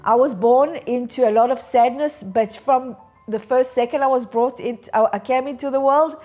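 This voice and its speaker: A woman in her fifties giving a reflective, halting and earnest personal account in a documentary interview. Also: sits high, warm tone. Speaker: interviewee, bereaved daughter. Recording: music underneath. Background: music.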